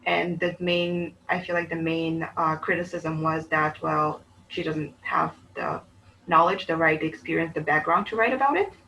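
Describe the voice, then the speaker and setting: A woman from Bulgaria, co-hosting a casual book chat, talking in an animated, rambling way that often breaks off and restarts. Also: deep voice, moderate pace, a little hiss or hum, rough recording. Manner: chatty, animated, self-interrupting. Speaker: book channel co-host.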